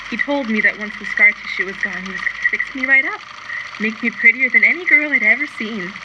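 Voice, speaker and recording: A woman's voice: medium pitch, natural voice. A woman playing a lonely, embittered young showgirl confiding in a plaintive, colloquial radio drama monologue. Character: scarred, lonely, embittered young showgirl keeping audio diary. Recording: dull lo-fi recording, loud background noise.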